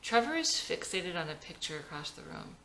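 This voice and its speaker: A woman's voice: lowish voice, sing-song, a little fast, sharp tone. A woman reading scene directions from a screenplay as scripted narration in a dramatic table read. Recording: roomy echo.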